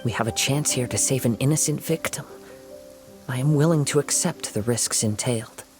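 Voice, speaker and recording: Guy doing young samurai wanderer for a game, calm, earnest, mid pitch, music behind. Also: close to an everyday voice. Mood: earnest, resolved. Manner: measured, earnest, formal diction. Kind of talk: dramatic video game voice acting.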